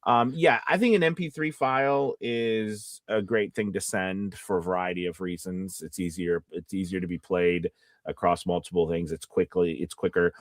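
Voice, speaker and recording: A man's voice: sits low, steady tone, normal speed, dry recording. Rambling man coaching, close dry sound. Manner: rambling, thinks out loud, checks in with "right?". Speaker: host and voice acting coach. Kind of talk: casual live teaching chat.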